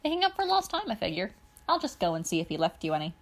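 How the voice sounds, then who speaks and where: A woman reading aloud, voicing a chatty, cheerful older male royal advisor in a brisk, fussy, upbeat manner. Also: mid-range voice, very animated.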